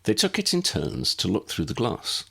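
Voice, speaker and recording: A man reading a story aloud in an expressive narrating style, with a gravelly, husky voice. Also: lowish voice, moderate pace. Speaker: audiobook narrator.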